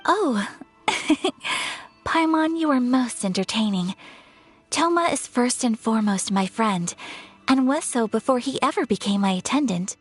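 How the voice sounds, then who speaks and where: A woman's voice: on the high side, lively intonation, a little slow, slightly rough, faint music behind. An actress playing a gracious young noblewoman who speaks formally and courteously, in a video game cutscene. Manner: formal, courteous, soft-spoken.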